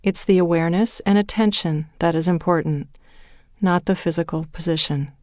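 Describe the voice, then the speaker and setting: A woman leading a guided meditation in a calm, measured way, with deliberate pauses between phrases. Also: fairly low pitch, no echo, clean studio sound. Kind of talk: guided meditation, scripted narration.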